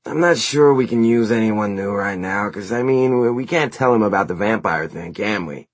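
A man voicing a laid-back, goofy teenage band guy in an audiobook, talking in halting slang. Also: fairly low pitch, expressive, no echo, pitched above the performer's own voice. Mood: unsure. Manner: slangy, halting, full of "like" and "dude".

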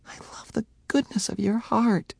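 A man's voice: high voice, flat delivery, moderate pace, gravelly, a little mellow, breathy edge, no echo, pitched above the performer's own voice, full character voice. A man reading an audiobook, voicing a heartbroken young woman who pleads tearfully and flares into anger. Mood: tender. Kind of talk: audiobook character voice.

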